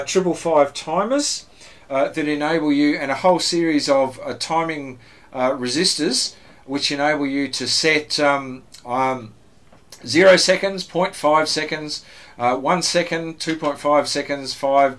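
An older man presenting to a radio club in a casual, rambling show-and-tell, with lots of fillers and restarts, recorded in a small room on a camera mic. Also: fairly high pitch. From Australia. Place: small room with green backdrop.

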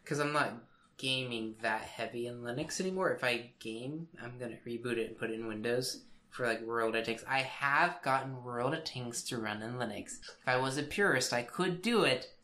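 A man co-hosting a tech podcast, chatting casually. He answers with short, wry remarks at a middling pitch. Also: moderate pace, pushed and bright. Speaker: podcast co-host.

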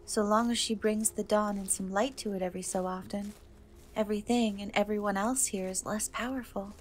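A woman playing a gentle, wistful young woman cursed into a half-cat, in a soft, hesitant dark fantasy ASMR roleplay. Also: medium pitch, fairly even intonation, slight background noise, lightly acted. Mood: earnest. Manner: hesitant, soft, slow, often trailing off.

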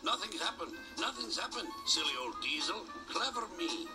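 A man's voice: high voice, lively intonation, moderate pace, over loud music, voice pushed up. A man voicing a cocky, grumpy male steam engine who speaks bluntly and crossly, in a children's storybook show.

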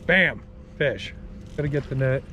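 A middle-aged man with a raspy voice, feeling under the weather, chatting casually to camera as a fishing vlogger on an open boat. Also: medium pitch, expressive, a little slow, bright, forceful tone, a little hiss or hum. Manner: upbeat, chatty, lots of fillers. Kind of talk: casual vlog chat to camera. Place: open boat on a lake, outdoors.

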